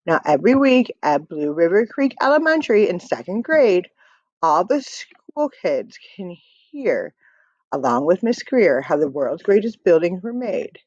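A woman reads a picture book to children with rhythmic emphasis. She goes slowly, with very lively rises and falls in pitch. The audio is slightly dull, as from a computer microphone. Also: dry recording. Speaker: read-aloud storyteller.

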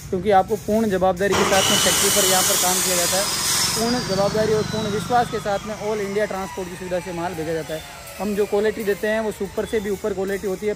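High-pitched, fast-talking salesman. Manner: repetitive, running sales patter. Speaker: factory owner promoting his workshop's products. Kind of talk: improvised promotional video pitch to camera. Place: welding workshop at a factory. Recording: noisy workshop with hiss and machinery.

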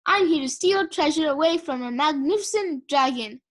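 A woman voicing a boisterous young male dragon who plays a thieving scavenger in a loud, theatrical way. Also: high voice, expressive, normal speed. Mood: gleeful, menacing.

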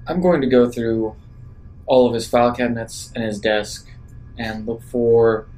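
A man with a mid-pitched voice speaks as a player at a tabletop game. He states his moves and asks questions in a casual, conversational way. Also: fairly even intonation, measured pace, darkish tone, soft background music, low-quality recording.

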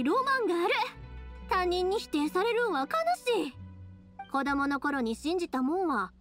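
Cheerful young big-sister type, Kansai accent, high voice, animated melody, reassuring, anime game dialogue. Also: female voice. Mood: passionate. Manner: lively, reassuring, colloquial. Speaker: video game character voice actor.

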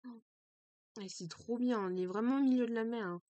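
A young woman streaming a game, chatting casually and excitably with a stuffy, congested nose, at a desk mic in a small room. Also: on the high side, fairly quick, no room sound, lo-fi sound. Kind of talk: let's play commentary.

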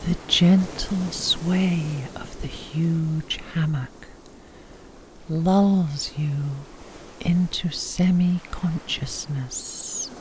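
A woman leads a guided relaxation in a hushed, breathy voice pitched a little low. She speaks extremely slowly, in short scripted phrases with long pauses. A faint ambient noise sits behind her. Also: steady tone, low-quality recording. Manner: soft, whispery, breathy delivery with long pauses. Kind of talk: slow guided sleep meditation, scripted narration.

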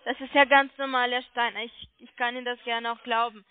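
A woman telemarketer making a sales pitch over the phone, persistent and polite, coaxing with check-back questions. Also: little pitch movement, brisk, clear, resonant tone, no room sound. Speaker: telemarketing caller selling online trading. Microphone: telephone call. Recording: muffled phone line.

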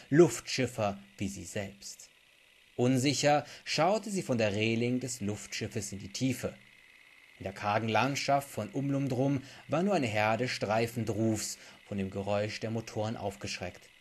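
A young adult man, an author reading aloud and chatting for his video blog. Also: medium pitch. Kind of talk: book reading and casual vlog talk. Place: small indoor room.

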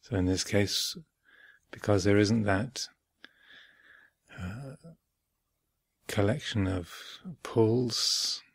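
A man giving guided meditation instruction as a teacher, speaking in measured short phrases with long pauses between them. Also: lowish voice, husky, no room sound. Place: retreat center, close dry recording.

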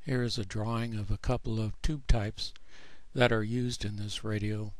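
A man narrating a screen tutorial as the author, explaining plainly in a measured way. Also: on the low side, little pitch movement, a little mellow, dry recording. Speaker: author presenting his own book.

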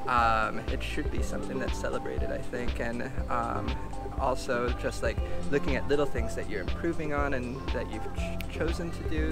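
A young man, a video creator, musing outdoors in casual chat, laughing often and filling with likes and ums. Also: medium pitch. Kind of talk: casual conversation. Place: outdoors in a park.

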